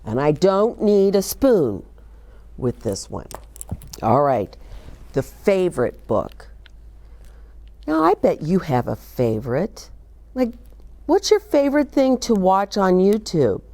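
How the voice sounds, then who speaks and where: Female children's read-aloud host, older, slow pace with lively swings in pitch, speaking straight to kids. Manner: expressive, talks directly to young listeners, asks questions. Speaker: host of a children's story time. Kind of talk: read-aloud and chatty book talk for children.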